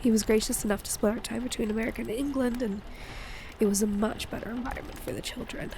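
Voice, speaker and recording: A woman playing an affectionate, playful wife and mother in an intimate audio roleplay, speaking in a gentle, teasing way. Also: fairly high pitch, steady tone, fast, slight background noise, close to an everyday voice. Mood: reflective.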